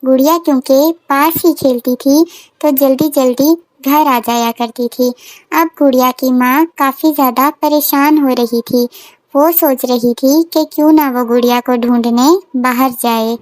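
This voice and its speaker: High-pitched woman storyteller. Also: steady tone, a little slow, no echo, rough recording. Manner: simple, repetitive storytelling for children. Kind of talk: scripted children's story narration.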